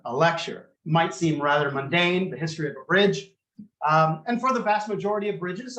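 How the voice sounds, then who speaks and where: A man with a loud voice giving a public lecture, conversational and precise, speaking into a handheld microphone. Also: fairly high pitch. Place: lecture room. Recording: echoey room.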